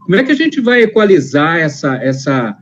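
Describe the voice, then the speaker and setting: A man's voice: fairly high pitch, sing-song, brisk, fairly bright tone, highs cut off, slight background noise. A man hosting a live interview, talking in a conversational, hesitant way with many fillers as he passes on viewer questions. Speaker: host reading viewer questions.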